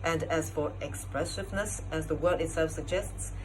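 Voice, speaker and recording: A woman with a distinctly low voice gives explanatory feedback as a judge. The recording carries clearly audible background noise. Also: moderate pace, a little mellow, poor audio. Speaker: competition judge.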